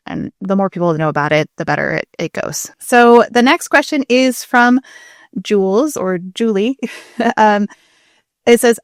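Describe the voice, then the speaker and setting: A woman co-hosting a podcast in casual, animated chat, often giggling as she talks. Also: mid-range voice, no echo, clean studio sound. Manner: giggly, chuckles often while talking. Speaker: podcast co-host.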